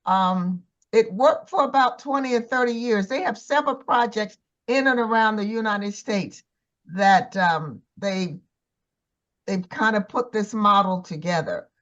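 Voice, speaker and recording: A slightly dull recording of a woman with a mid-pitched voice. She talks informally in a group discussion, conversational and turning questions to the others. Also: a little slow, fairly bright tone. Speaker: church discussion participant.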